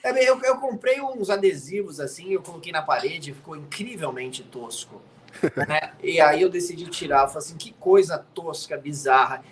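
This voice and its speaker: A man's voice: fairly high pitch, normal speed, slight background noise. A man who grew up in the United States, an NFL commentator chatting as a guest from his home studio, teasing and tagging his sentences with little questions. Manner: chatty and teasing, tags lines with "né?". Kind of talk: casual chat.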